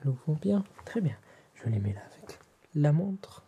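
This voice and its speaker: A man with a French accent whispers softly and breathily in an ASMR roleplay, addressing the listener politely and formally as an attentive tailor, close to the microphone. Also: a little high, very animated, moderate pace. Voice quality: soft, breathy whispering and hushed speech.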